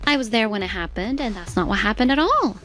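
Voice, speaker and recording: Bold, animated, teasing woman acted. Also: fairly high pitch, fast talker, lightly acted. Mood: cool challenge. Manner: mock-polite, challenging. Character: bold, teasing, sharp-witted young woman exposing a liar. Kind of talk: manga dub acting. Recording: music clearly behind voice.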